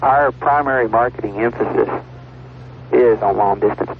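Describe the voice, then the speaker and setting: A man's voice: medium pitch, normal speed, gravelly, soft background music. A man speaking as a business customer in an interview-style testimonial for a vintage radio ad. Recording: tinny, lightly noisy vintage radio ad.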